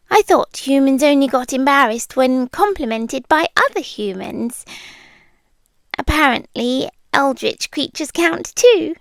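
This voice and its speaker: A woman acts out a shy eldritch creature girl from an ASMR roleplay, with a British accent and a high voice. She speaks slowly and softly, sometimes whispering, very close and dry, awkwardly smitten yet deadpan. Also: no echo, exaggerated voice. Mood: teasing, flustered.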